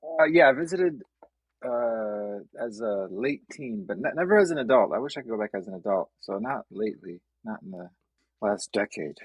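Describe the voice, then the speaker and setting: A young man who does stand-up, born in Bosnia and raised in Detroit, making wry deadpan jokes as a podcast guest. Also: lowish voice, moderate pace, breathy edge, dry recording, poor audio. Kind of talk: casual chat.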